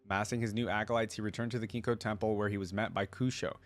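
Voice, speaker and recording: A man hosting a reaction video, mixing casual, think-out-loud commentary with reading aloud. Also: lowish voice, steady tone, fast.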